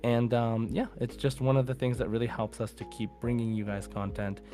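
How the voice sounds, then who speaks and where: A man's voice: medium pitch, little pitch movement, brisk, mellow, soft background music. A man hosting a podcast in a casual, informal way, addressing listeners as guys. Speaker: podcast host.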